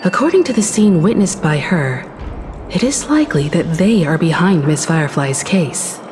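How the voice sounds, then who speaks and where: A woman voicing a calm, analytical investigator companion who speaks in a measured, composed way. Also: lowish voice, noisy background, natural voice. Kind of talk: game voice acting. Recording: game music behind.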